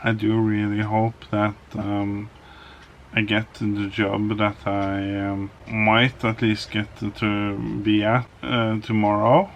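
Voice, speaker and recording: Norwegian man, vlogger; hesitant, low and even delivery, unhurried. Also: a little hiss or hum. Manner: hesitant, many ums and restarts. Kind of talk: casual vlog monologue.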